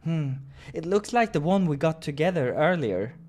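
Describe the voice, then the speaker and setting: Man putting on an eager high-voiced young cat adventurer. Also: very animated, moderate pace, darkish tone. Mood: curious.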